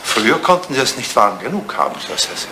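An actor playing a devoted older English butler, formal and deferential, in a retro radio play. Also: male voice, mid-range voice, sing-song, fast talker, close to an everyday voice. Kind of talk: retro radio comedy-drama acting. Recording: noisy vintage radio play recording.

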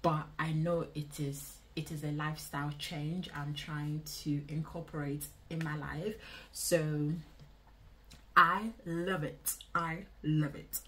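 A woman in her twenties with a Nigerian accent, chatting casually to camera in an indoor vlog, expressive and repeating phrases for emphasis. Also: low-pitched, a little hiss or hum, rough recording. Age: 20s. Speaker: vlogger. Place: small indoor room.

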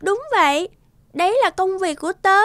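A woman voicing a tiny cheerful girl fairy in an animated cartoon, childlike, exuberant and a little wistful. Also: extremely high pitch, normal speed, no echo, studio quality. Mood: friendly, earnest.

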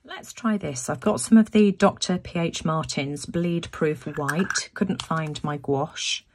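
A woman with a croaky voice talking through a craft demonstration at her table, thinking aloud as she works and often repeating words. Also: low voice, takes it slowly, darkish tone. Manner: chatty, thinking aloud with restarts. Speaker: craft video host. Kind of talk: casual craft tutorial narration. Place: home craft room, tabletop.

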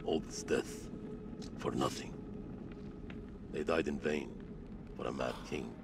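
An actor playing a grave ancient soldier in a video game, speaking in a solemn, measured way. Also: male voice, rumbling low, steady tone, vocal fry, background noise, heavily acted. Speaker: game character.

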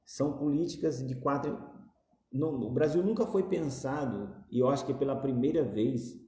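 A man's voice: mid-range voice, normal speed, dark tone, reverberant. A middle-aged man with a Brazilian accent, speaking earnestly and repetitively to a phone camera in a small indoor room. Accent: Brazilian Portuguese. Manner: earnest, repetitive, gestures while talking. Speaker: political commentator. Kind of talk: direct-to-camera opinion talk. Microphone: phone camera at arm's length.

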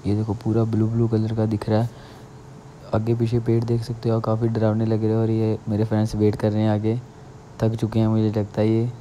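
Young male travel vlogger, lowish flat voice, casual, handheld outdoor recording. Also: fairly quick. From Jammu, India. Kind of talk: casual travel vlog commentary. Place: outdoors, on motorbike and hillside trail. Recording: noisy outdoor motorbike and trail recording.

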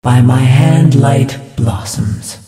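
A woman playing a serene, devout female spirit healer in a video game, speaking in a measured, ceremonious, pious way. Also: extremely low pitch, dark tone, recorded from a distance. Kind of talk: video game character voice lines. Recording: reverberant hall effect, music behind.

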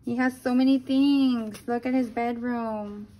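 A woman with a very high voice reads a picture book aloud for children. She tells it expressively and drops in short asides. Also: unhurried, rough recording. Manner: animated storytelling with brief asides. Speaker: storybook reader.

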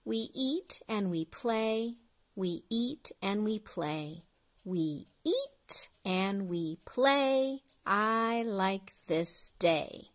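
Middle aged lady reading a kids picture book out loud, really slow and deliberate. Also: medium pitch, lively intonation. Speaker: storyteller, children's read-along host. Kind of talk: read-aloud of an early reader picture book for young children.

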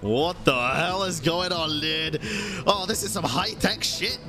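A young man streams a game and reacts to it, swearing freely and talking straight to his chat. His voice swings up and down in pitch. Game music and sound play underneath him. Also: unhurried. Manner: excitable, swears often, talks to chat. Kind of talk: casual game commentary.

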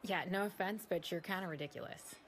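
A woman's voice: on the low side, a little fast, slight background noise, lightly acted. An actress playing a hot-tempered, snarky young female gangster with a snappy, sarcastic delivery.

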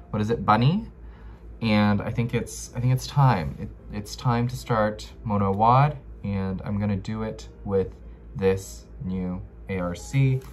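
A man's voice: on the low side, a little hiss or hum. A young American man chatting casually to camera as a book vlogger, slangy and given to dramatic emphasis. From Los Angeles, United States. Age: young adult. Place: small bedroom, indoors.

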